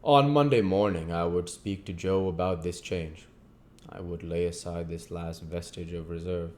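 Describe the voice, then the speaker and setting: A man's voice: low-pitched, steady tone, moderate pace, a little mellow. A man reading a classic novel aloud as narrator and host of his own channel.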